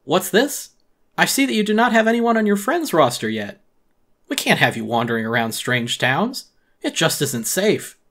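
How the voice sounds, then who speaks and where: Casting: man as a formal, officious watchdog guard in a game story, patronizing, slightly high. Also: very animated, normal speed, a bit bright, full character voice. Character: officious, patronizing male gate guard dog.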